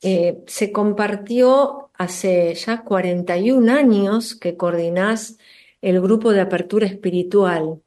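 A woman with a Rioplatense Spanish accent hosts a radio program, introducing topics briskly and eagerly, with small filler sounds. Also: mid-range voice, darkish tone. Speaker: radio host. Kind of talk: radio interview.

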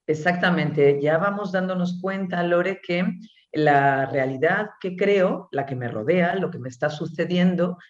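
A woman with a Spanish accent, an author answering interview questions slowly and thoughtfully, pausing often. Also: lowish voice, reverberant, lo-fi sound. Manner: slow, thoughtful, with many pauses and fillers. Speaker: author and guest.

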